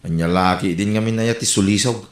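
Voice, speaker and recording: A man's voice: lowish voice, quick pace, echoey room, close to an everyday voice. A man with an Ilocano accent playing a friendly, gossipy village man who hands out advice. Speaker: actor in a comedy skit. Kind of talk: comedy skit acting.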